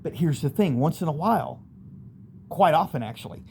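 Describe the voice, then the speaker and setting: A man's voice: on the high side, animated, normal speed, a little mellow, slight background noise. A middle-aged American man telling personal stories casually, in a rambling, candid way with street slang. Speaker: storyteller, channel host. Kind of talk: casual first-person storytelling monologue.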